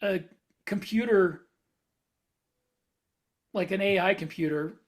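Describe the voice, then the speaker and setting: A man in a casual podcast panel discussion, explaining things in a halting way as he reasons aloud. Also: high-pitched.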